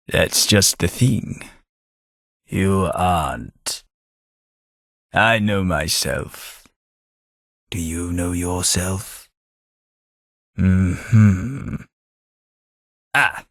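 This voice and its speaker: A man voicing a dying ghoul gentleman in a game, speaking in a polite, formal, dry manner. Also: lowish voice, husky, dry recording, heavily acted. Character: dying ghoul gentleman companion, courteous and wry. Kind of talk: game voice acting.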